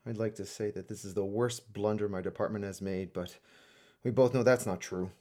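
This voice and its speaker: A man's voice: fairly low pitch, fairly even intonation, normal speed, dark tone, lightly acted. A man acting in a radio play as a businesslike, wry government official helping an investigation.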